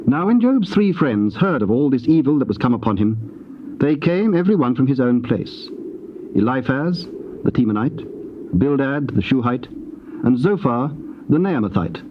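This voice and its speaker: A British man reads scripture as narrator. His delivery is measured and solemn, in a mid-range voice, on dull tape with clear hiss. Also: medium pitch, expressive. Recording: dull hissy cassette tape.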